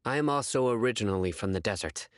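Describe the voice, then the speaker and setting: An actor playing a stern, proud former enforcer from the desert in a video game, formal and dry in delivery. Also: male voice, lowish voice, animated, fast, a little hiss or hum, natural voice. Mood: stern explaining.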